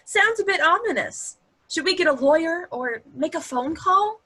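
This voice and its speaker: A woman's voice: very high voice, lively intonation, normal speed, pushed and bright, heavily acted. A woman playing a sarcastic, wisecracking young character in a comic play read aloud. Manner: sarcastic, quippy. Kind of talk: table-read stage comedy acting.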